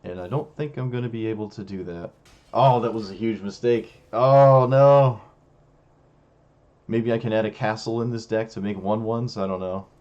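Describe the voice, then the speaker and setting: Young male streamer, low voice, hoarse and fading, muttering self-critical game commentary on a dull lo-fi mic. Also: normal speed, a little mellow. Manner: musing, self-critical, talks to himself. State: losing his voice, hoarse. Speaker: streamer playing a card game. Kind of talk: casual gameplay commentary.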